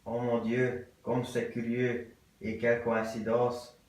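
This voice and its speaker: A man playing a stiff, courteous middle-aged English gentleman in an absurd stage play, with formal, ceremonious and repetitive speech. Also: medium pitch, completely flat delivery, slow pace, lightly acted. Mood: ceremoniously curious. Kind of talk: theatre acting of an absurd play. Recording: very echoey room, voice from far off.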